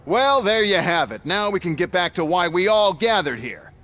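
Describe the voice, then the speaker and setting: A smug corporate executive with a high, lively voice that bounces between sarcasm and sales pitch. He waves off questions dismissively and plays the showman. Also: moderate pace.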